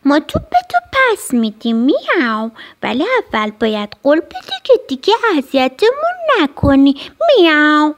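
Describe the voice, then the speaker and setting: A woman's voice: sits high, wildly swooping pitch, pitched above the performer's own voice. A woman voicing a small, prim storybook cat who meows between sentences and speaks firmly. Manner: measured, firm, meowing.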